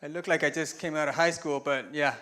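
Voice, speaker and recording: A man's voice: a little high, fairly even intonation, a little fast, sharp tone. Chatty, self-mocking man in his forties. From Taiwan. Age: 40s. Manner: chatty, self-deprecating, chuckles at his own jokes. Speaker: conference speaker. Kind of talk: personal talk with slides. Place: stage in a conference room.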